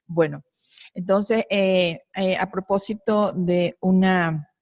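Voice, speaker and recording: A woman's voice: lowish voice, steady tone, slow pace, a little mellow, dry recording, studio quality. A woman from Ecuador, a pediatric neurosurgeon, presenting a case at a conference, hesitant with many fillers.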